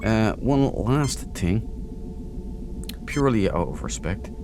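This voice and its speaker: A man's voice: fairly low pitch, big pitch swings, slow, vocal fry, loud music behind the voice. A man giving casual, jokey and crude commentary as a let's play host.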